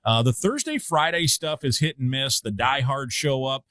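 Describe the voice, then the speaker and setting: A man's voice: mid-range voice, expressive, moderate pace, fairly bright tone, no echo. A middle-aged man from Iowa, a radio play-by-play broadcaster chatting about college basketball, thoughtful with frequent fillers and restarts. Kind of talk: casual sports talk.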